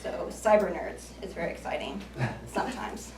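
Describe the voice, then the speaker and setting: A woman's voice: medium pitch, moderate pace, noisy background. Young woman in her twenties giving a jokey self-deprecating club speech, roomy echo, mic far off. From United States. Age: 20s. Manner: self-deprecating, light joking asides. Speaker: icebreaker speaker at a speaking club. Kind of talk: prepared humorous speech. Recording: echoey room from far off.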